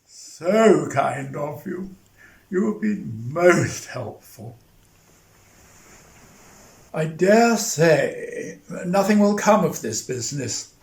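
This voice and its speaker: A man's voice: on the high side, lively intonation, drawn-out words, creaky, pauses often, heavily acted. A man playing a fussy, pompous older clergyman in a dramatized novel reading, speaking formally. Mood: complacent. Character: fussy, genteel older clergyman uncle who loves jelly. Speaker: amateur actor in dramatized reading. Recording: echoey room sound.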